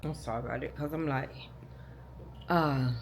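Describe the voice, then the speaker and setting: A hoarse, deeply gravelly woman tells her viewers a long personal story. She rambles, restarts her sentences and speaks to them directly as "y'all." A faint noise sits under the recording. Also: normal speed, rough recording. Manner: rambling, repeats and restarts, talks straight to "y'all". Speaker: vlogger.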